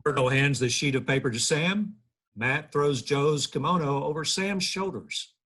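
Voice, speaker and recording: A husky man's voice, a little high, reads scripted stage directions. He narrates a table read over a video call. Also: measured pace, fairly bright tone.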